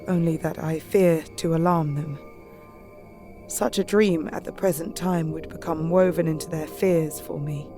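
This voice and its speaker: An actress with a British accent playing an anxious young Victorian woman recounting her diary in an audio drama. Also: low voice. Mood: subdued, resolute worry.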